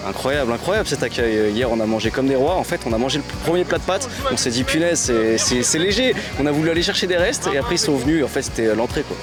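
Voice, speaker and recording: A man's voice: mid-range voice, lively intonation, quick pace, few pauses, loud music behind the voice. A man leading a group on a pilgrimage, giving a chatty, enthusiastic interview. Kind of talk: casual interview.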